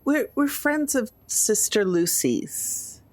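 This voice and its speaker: A woman's voice: a little high, big pitch swings, takes it slowly, darkish tone, no room sound. A woman taking part in a tabletop role-playing game as a player, talking casually.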